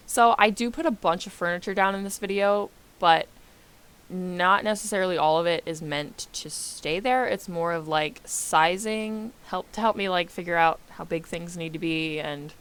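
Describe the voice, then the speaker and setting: A woman's voice: on the low side, fairly bright tone, clear, resonant tone. A young American woman, a little congested, giving a rambling, self-deprecating voice-over on her game build and laughing often. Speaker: gaming YouTuber.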